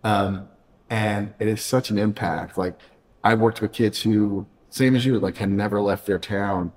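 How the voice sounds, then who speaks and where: A man's voice: on the low side, fairly even intonation, measured pace. Chatty male podcast host, light reverb. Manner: chatty, filler-heavy, jokey asides. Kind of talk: casual interview chat.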